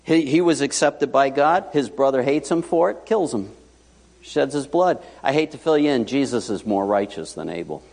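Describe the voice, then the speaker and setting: A male pastor preaching a sermon in a church, animated and joking, with sudden loud and hushed asides. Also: mid-range voice, moderate pace, gravelly. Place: church sanctuary. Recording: long hall reverb.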